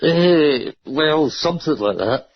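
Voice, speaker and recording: A man's voice: a little high, expressive, extremely slow. A man from Scotland with a strong Scottish accent, chatting casually as a caller on a radio show. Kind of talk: casual chat. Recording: muffled internet call on radio.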